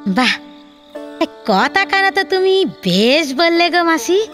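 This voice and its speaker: A boastful younger rustic housemaid acted by a woman in an audio drama, argumentative and sly. Her voice is extremely high and animated, with a colloquial rural West Bengal accent. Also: takes it slowly, soft background music, full character voice. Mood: casual, sly.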